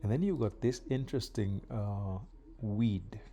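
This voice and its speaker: A middle-aged man from Kenya chatting casually while doing yard work outdoors, with relaxed pauses and fillers. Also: lowish voice. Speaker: vlogger.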